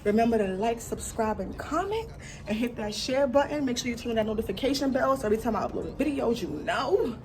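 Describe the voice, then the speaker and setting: A woman's voice: fairly high pitch, expressive, fast, a little mellow, slight background noise. A young adult woman telling a slangy, casual storytime as a vlogger, emphatic, dramatic and freely swearing, repeating phrases for effect. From United States.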